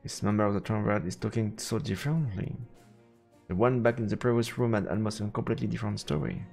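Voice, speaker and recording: Low-voiced man streaming a game. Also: steady tone, quick pace, gravelly, darkish tone, faint music behind. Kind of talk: let's play, reading game text and reacting.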